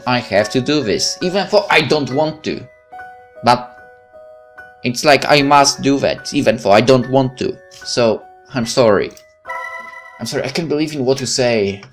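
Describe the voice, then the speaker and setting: A man hosting a gaming video, reading a visual novel aloud with casual commentary and halting, stumbling delivery. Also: on the low side. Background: music.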